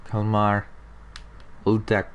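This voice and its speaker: A man's voice: fairly low pitch, completely flat delivery, slow pace, muffled recording, slight background noise. A young man with a slightly sick, nasal voice, chatting casually over gameplay as a channel host and talking to viewers. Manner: chatty, talks to viewers and chat.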